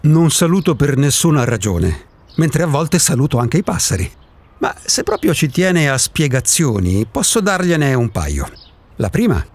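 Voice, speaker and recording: A man's voice: mid-range voice, darkish tone. An actor playing an elderly reclusive male ghost in a radio drama, a wry and contrarian philosopher with a theatrical, emphatic delivery. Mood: stubborn.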